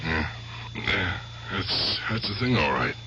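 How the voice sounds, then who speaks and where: An actor playing an aging, guilt-ridden gangster in a radio drama, with rough, halting American speech. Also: male voice, low voice, sing-song, bright, forceful tone, full character voice. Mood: muttering, agitated. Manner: rough colloquial speech, halting, muttering to himself. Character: aging guilt-tormented gangster, gruff but fond uncle. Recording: dull, noisy old radio drama recording.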